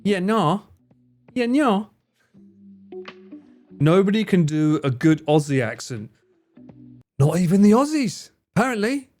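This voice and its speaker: Dude streaming, goofy and dramatic, drawls a bit, voice bounces all over. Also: male voice, high voice, very animated, measured pace, long pauses, a little mellow, faint music behind. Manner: joking, theatrical, swears freely, slips into mock voices. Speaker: streamer and cameraman. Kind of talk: casual live-stream chat with viewers.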